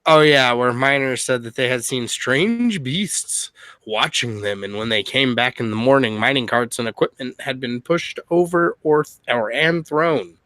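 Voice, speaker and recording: A man's voice: medium pitch, measured pace, bright, forceful tone, dry recording. A man reading a forum post aloud with sarcastic, laughing asides in casual comedic banter.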